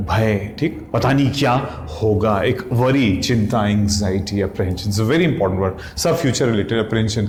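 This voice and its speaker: A man, teaching exam vocabulary in a brisk, chatty way. He spells each word out letter by letter, stops to ask if you follow, and corrects himself as he goes. The room sounds echoey, with a faint hum of ambient noise. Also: fairly low pitch, a little mellow. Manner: spells words letter by letter, repeats "ठीक?" constantly. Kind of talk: exam-prep vocabulary lesson.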